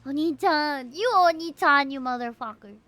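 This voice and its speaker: High, bright-voiced woman streaming. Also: normal speed. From Philippines. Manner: expressive, exclamatory. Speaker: livestreamer. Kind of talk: casual game stream reactions.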